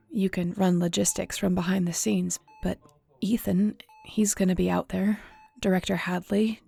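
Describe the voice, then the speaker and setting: A woman's voice: lowish voice, vocal fry, mellow, husky. A woman acting in an audio roleplay as a weary, guilt-ridden shifter investigator, speaking in a somber, conversational, confiding way. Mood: worried, heavy.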